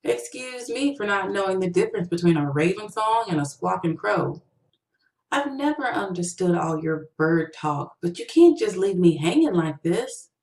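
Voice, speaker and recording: A woman's voice: mid-range voice, lively intonation, moderate pace, darkish tone, echoey room, far from the mic, natural voice, low-quality recording. A woman voicing a whiny, pleading man who is a pushy false friend, in a short-story reading. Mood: whiny, agitated. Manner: whining, agitated, slangy. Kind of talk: short-story audiobook character voice.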